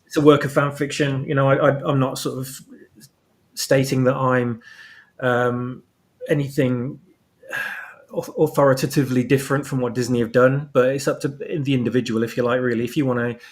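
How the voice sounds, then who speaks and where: A man speaking as a guest author, explaining casually and softening his statements as he goes. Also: mid-range voice, flat delivery, moderate pace. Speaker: guest fan-fiction author.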